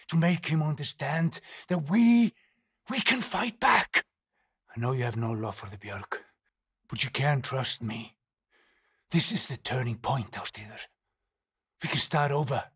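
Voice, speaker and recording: A man playing a weary, haunted Norse settlement leader in a video game, speaking gravely and deliberately in a husky, breathy voice. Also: mid-range voice, fairly bright tone, no echo, clean studio sound, exaggerated voice. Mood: pleading, insistent. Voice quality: husky, breathy, often near a whisper. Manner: slow, deliberate, grave, with pauses. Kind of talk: dramatic video game acting.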